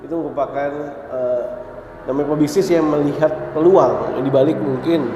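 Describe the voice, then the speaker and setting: A man's voice: a little high, steady tone, moderate pace, noisy background. A man hosting an interview, speaking casually and encouragingly with many short agreeing replies. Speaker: show host. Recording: echoey room with faint ambience.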